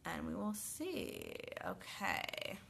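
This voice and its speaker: Bright-voiced chatty woman with a cold. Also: lowish voice, wildly swooping pitch, very slow, vocal fry, slight background noise. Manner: chatty, upbeat, addresses viewers by name. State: congested from a cold, sniffling. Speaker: sleep consultant answering parents' questions. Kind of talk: live Q&A, reading comments aloud.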